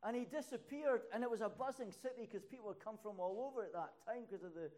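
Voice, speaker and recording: An older man preaching in a church hall. His voice sits a little high for a man, and he tells stories from his ministry with mounting emphasis, pushing up into loud, high peaks to drive a point home. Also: fast talker. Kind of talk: sermon with personal stories.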